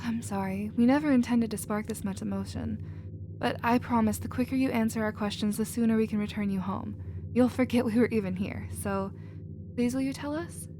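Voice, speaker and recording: A woman playing a gentle, emotional alien scientist in a scripted ASMR roleplay, earnest and expressive in manner. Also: fairly high pitch, a little fast, noisy background, close to an everyday voice. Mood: tender, concerned. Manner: soft-hearted, earnest, expressive.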